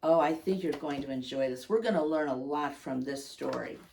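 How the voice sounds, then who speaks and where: A woman hosting storytime for young children, reading aloud and speaking directly to them with gentle questions and simple explanations. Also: rumbling low, moderate pace, creaky, far from the mic, a little hiss or hum. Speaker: children's storytime host and reader.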